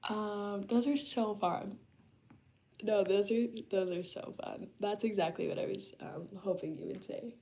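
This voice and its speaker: Early-20s woman, casual home vlog chat, fillers and all, vocal fry, mid pitch. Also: unhurried, dark tone. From United States. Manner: loose and chatty, full of "um" and "like". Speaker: vlogger chatting with her live chat. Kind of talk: casual chat while working. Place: her home, working remotely.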